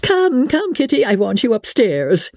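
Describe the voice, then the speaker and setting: A woman voicing a fussy, excitable, bossy middle-aged mother with a fluttery, insistent manner, in a dramatic audiobook reading of a classic novel. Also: a little high, unhurried, no room sound, clean studio sound, pitched above the performer's own voice, full character voice. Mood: flustered delight.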